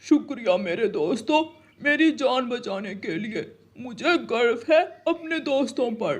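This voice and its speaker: Cartoon crow, male, played by a man: high, husky, earnest and emphatic. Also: expressive, a little slow, full character voice. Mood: grateful. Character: Kalu, pleading loyal male crow, a cartoon bird. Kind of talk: Hindi children's cartoon story voice acting.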